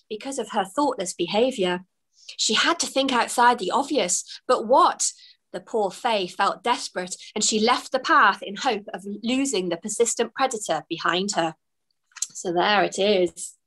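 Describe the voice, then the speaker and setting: A young woman reading her own children's book aloud over a video call from a room at home, expressive with gasps and small laughs. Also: a little high, poor audio. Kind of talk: storybook narration. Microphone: webcam on a video call.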